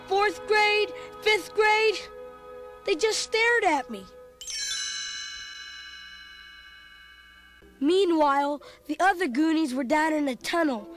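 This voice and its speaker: Excitable little kid telling a read-along story, really high voice, dramatic and earnest. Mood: breathless dismay. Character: Chunk, excitable chubby young boy narrator.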